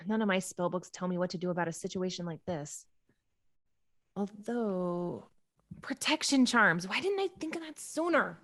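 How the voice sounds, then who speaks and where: A woman's voice: on the low side, lively intonation, unhurried, a bit bright, exaggerated voice. A woman reading the part of an earnest, anxious young witch in a script table read. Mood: worried.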